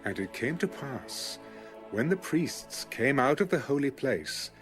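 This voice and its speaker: Man, scripted scripture narration, measured storyteller, varied expressive pitch, background music. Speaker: narrator of an audio Bible.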